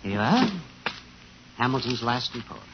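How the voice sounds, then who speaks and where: An actor playing a fussy, evasive sales manager in a 1950s radio drama, officious and easily flustered. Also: male voice, medium pitch, very animated, moderate pace. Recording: dull, noisy 1950s radio recording.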